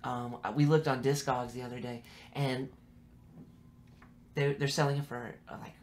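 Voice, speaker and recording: A man from San Francisco chatting casually as a musician guest on a podcast, in a laid-back, slangy way with free swearing and a gravelly voice. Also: mid-range voice, little pitch movement, moderate pace, darkish tone, a little hiss or hum. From San Francisco, United States. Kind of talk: casual podcast interview chat.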